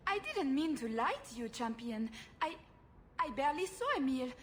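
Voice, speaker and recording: High-strung noble mother, fantasy game, high-pitched, very animated, fluttery, theatrical, faint background ambience. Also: moderate pace, slight background noise. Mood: nervous, evasive. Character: flighty, melodramatic noblewoman mother, overprotective and evasive. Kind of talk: video game voice acting.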